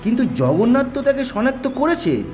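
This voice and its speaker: A man's voice: extremely high pitch, big pitch swings, fairly quick, darkish tone, faint music behind. An actor playing a detective's puzzled, sceptical male companion, questioning incredulously in an audio mystery drama.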